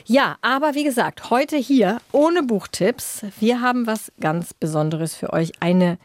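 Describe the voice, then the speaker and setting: A woman's voice: a little high, unhurried, no echo. A German woman co-hosting a podcast in casual conversation, speaking directly to her listeners. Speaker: podcast co-host. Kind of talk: casual book-podcast chat. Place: podcast studio.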